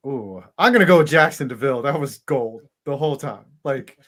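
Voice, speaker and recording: A man co-hosting a casual sports podcast, jokey and quick to chime in. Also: medium pitch, lively intonation, a bit bright. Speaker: podcast co-host. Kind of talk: casual chat.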